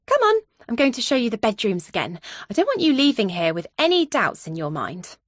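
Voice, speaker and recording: A woman's voice: a little high, wildly swooping pitch, brisk, no echo, studio quality, voice pushed up. A woman voicing a brash, flirty female estate agent in an audiobook, breezy, emphatic and pushy. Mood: breezy, firm.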